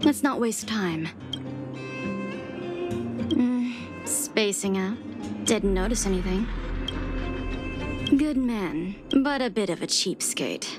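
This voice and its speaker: A woman playing an aloof, indifferent vagrant in a video game, terse, blunt and deadpan. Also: mid-range voice, slow pace, long pauses, music in the background. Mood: curt, bored.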